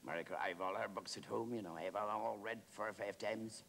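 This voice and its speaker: A man's voice: on the low side, fairly even intonation, a little fast, vocal fry, fairly bright tone, slight background noise. A man playing a naive, talkative working-class warder who rambles deferentially in a theatrical monologue. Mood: scandalised, eager. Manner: rambling, hesitant, repetitive, with deferential address.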